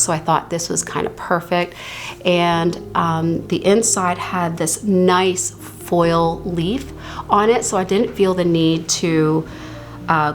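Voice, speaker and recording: A woman casually talking through her reading journal on her channel, her voice still slightly hoarse from a recent illness, chatty and stretching out words she wants to stress. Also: on the low side. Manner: chatty, drawn-out emphasis on words she likes.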